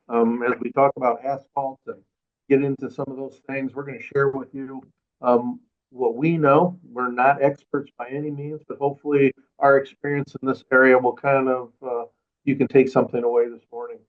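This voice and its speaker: A man's voice: medium pitch, flat delivery, normal speed. A man with an Upper Midwest American accent, co-hosting a school facilities webinar from his office, talking in a plain, modest, rambling way. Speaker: co-host, school facilities manager. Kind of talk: casual webinar talk. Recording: tinny phone-like audio.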